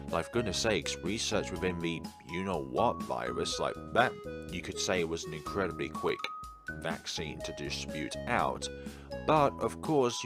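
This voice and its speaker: Low-voiced man doing let's play commentary. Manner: rambling, musing asides. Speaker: gaming video host. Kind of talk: let's play commentary, reading game text aloud.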